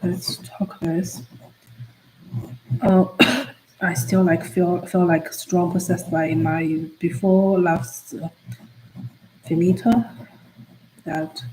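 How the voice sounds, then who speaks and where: A woman whose first language is Chinese, chatting on a study livestream in a halting way, with many fillers and restarts. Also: on the low side, little pitch movement, darkish tone. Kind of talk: casual study-stream chat. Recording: echoey room with faint ambient noise.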